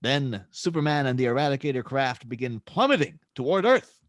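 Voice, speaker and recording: A man's voice: mid-range voice, lively intonation, a little fast, no room sound, studio quality. A man narrating scene descriptions and stage directions for a script table read.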